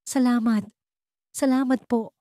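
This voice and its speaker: A Filipino woman voicing a young female patient in a story, fearful and disbelieving, with halting, emotional questions. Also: a little high, fairly even intonation, warm tone, no echo, studio quality, voice pushed up. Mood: grateful.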